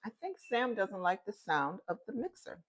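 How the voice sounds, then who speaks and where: A woman with a loud voice, hosting a casual home cooking demonstration and chatting homily to her viewers as she works. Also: mid-range voice, very animated, moderate pace. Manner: chatty and homey, talks straight to viewers. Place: home kitchen. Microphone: phone, later a clip-on mic.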